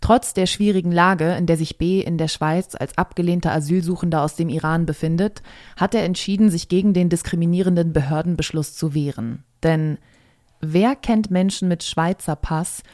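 A woman's voice: on the low side, steady tone, normal speed, darkish tone, no echo, studio quality. A woman reading a scripted news report aloud as a presenter.

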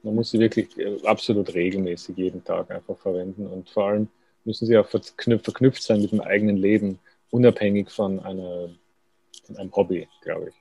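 A man's voice: sits low, steady tone, fairly quick, creaky, warm tone, breathy edge, no room sound, rough recording. A man, a writer thinking aloud in a thoughtful, self-deprecating way as a radio interview guest.

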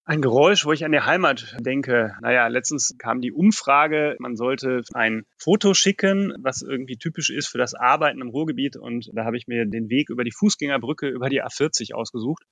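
A man from the Ruhr area, an engineer answering interview questions in a relaxed way with lots of fillers. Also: medium pitch, fairly quick, creaky, few pauses, no echo. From Ruhr area, Germany. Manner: relaxed, many filler phrases. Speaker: test lab engineer, guest.

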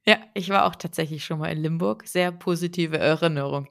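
A woman's voice: fairly quick, gravelly. A woman hosting a podcast interview, summing up and giving effusive thanks. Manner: warm effusive thanks, rises high in farewells. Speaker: podcast host.

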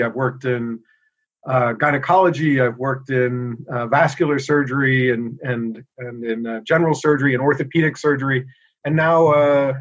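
A middle-aged American man talks through his work in a relaxed, thoughtful way, pausing often with small fillers. His voice sits at a middle pitch. The audio is a little dull, as over a remote call. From United States. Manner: relaxed, thoughtful, many "uh" pauses. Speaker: inventor and guest. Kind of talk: casual interview chat.

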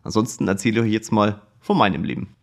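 A man's voice: fairly low pitch, fast talker, creaky. Chatty man in his forties. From Kulmbach, Upper Franconia, Germany. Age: 40s. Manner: chatty, frank, lively and self-deprecating. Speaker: podcast host. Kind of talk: casual personal storytelling monologue. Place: office.

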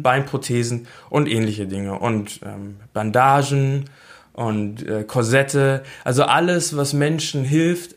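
A young man from Berlin talking casually about himself, with lots of hesitant fillers. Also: medium pitch. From Berlin, Germany. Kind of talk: casual self-introduction.

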